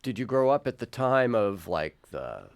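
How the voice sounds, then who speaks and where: Male interviewer with swooping, lively intonation. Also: fairly low pitch, moderate pace, creaky, dry recording. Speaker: radio host and interviewer. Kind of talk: conversational studio interview. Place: radio studio.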